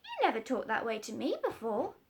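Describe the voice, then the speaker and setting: Kindly storybook doctor, mild and earnest, lively voice, read by a woman. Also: fairly high pitch, fairly quick. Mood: mild, curious. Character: gentle, kindly man, a country doctor.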